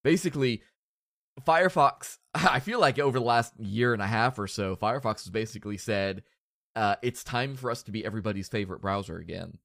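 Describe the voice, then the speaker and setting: A man's voice: fairly low pitch, a little fast, creaky, a bit bright, no echo, clean studio sound. Stuffy-nosed man hosting a podcast. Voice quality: nasal. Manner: rambling, self-deprecating, joking. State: stuffy with a cold. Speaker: podcast host. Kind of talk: casual podcast chat.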